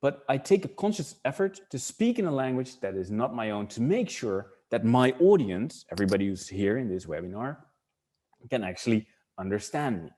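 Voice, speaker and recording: A middle-aged Dutch man with a clear Dutch accent speaks English while leading an online webinar. His voice is warm and mellow, and his delivery wanders as he thinks aloud, doubling back on words and restarting sentences. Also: medium pitch, big pitch swings, moderate pace. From Netherlands. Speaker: webinar presenter.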